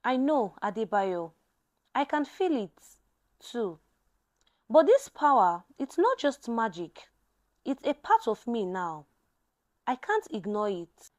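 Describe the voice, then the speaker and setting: Woman voicing a defiant, earnest heroine, high-pitched. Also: very animated, slow, long pauses, darkish tone. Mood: troubled. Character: fearless young woman mechanic with troubling magical power.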